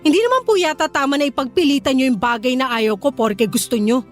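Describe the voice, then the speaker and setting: An actress plays a young Filipino woman who is hurt and fed up. Her voice sits extremely high and she scolds with firm emphasis. It is radio drama, with soft music underneath. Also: fast, lightly acted. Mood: irritated. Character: hurt, indignant young woman standing up for herself. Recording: music behind the voice.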